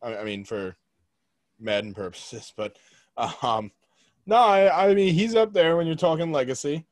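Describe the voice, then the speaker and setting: A man's voice: fairly high pitch, animated, normal speed, no room sound. A young man co-hosting a casual sports podcast, blunt and joking, pushing strong opinions. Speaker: podcast co-host.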